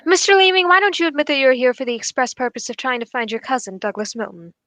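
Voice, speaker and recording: A young woman plays a keen and courteous detective in an old-time radio mystery. The voice is high and very dry, with formal, deliberate phrasing that stays composed. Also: fairly bright tone, no echo. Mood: pointed, assured. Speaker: actor in a student radio drama.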